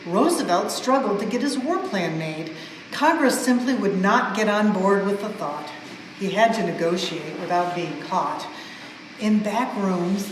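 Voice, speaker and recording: A woman reads a storybook aloud for children with expressive, measured emphasis on the rhymes. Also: medium pitch. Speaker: museum staff member reading a story aloud. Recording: very echoey large hall, some noise.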